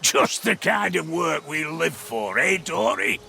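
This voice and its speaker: A man voicing a gruff, boisterous dwarf engineer in a video game, hearty, colloquial and boastful, with a gravelly voice. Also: fairly high pitch, very animated, takes it slowly, pushed and bright, slight background noise, full character voice. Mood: wry, determined. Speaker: mission-briefing game character. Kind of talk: scripted video game voice lines.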